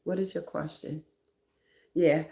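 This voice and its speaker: A woman's voice: low voice, fairly even intonation, takes it slowly, gravelly, mellow, reverberant. A woman hosting a live stream, giving reflective motivational talk and often asking "right?".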